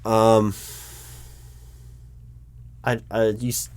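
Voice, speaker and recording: A man playing a job interviewer in an ASMR roleplay, patient but baffled, with a dry, halting delivery full of restarts. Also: lowish voice, completely flat delivery, smooth. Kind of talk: ASMR roleplay, spoken aloud, not whispered.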